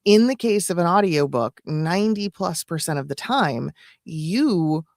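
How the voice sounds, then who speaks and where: A woman's voice: lowish voice, animated, measured pace, darkish tone, no echo. A woman co-hosting as a coach in a casual live chat, explaining things step by step in an agreeable way on a close mic. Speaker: auditioning coach and co-host.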